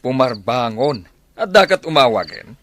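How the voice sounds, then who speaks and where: An actor playing a gruff, irritable, dismissive husband in an Ilocano radio drama. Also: male voice, mid-range voice, big pitch swings, moderate pace, a bit bright. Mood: irritated, sleepy. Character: grumpy, dismissive husband woken from sleep. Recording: clear noise, night insects.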